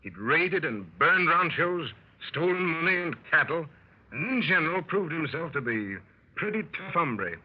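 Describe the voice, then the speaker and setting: Animated, husky 1930s radio adventurer. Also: male voice, on the high side, unhurried, exaggerated voice. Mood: wry storytelling. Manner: wry, animated storyteller. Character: plucky gentleman adventurer telling his dangerous exploits. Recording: muffled 1930s radio broadcast.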